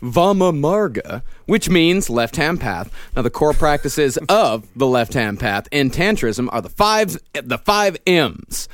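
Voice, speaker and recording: Lively-pitched man explaining from notes. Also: dry recording. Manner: measured, explanatory. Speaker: podcast host presenting the research. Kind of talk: reading prepared notes between banter.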